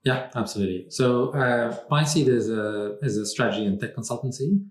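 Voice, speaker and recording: A man originally from Morocco, speaking as a podcast guest in a measured, thoughtful way with frequent pauses. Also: medium pitch, echoey room. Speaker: podcast guest, strategy consultant. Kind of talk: conversational interview.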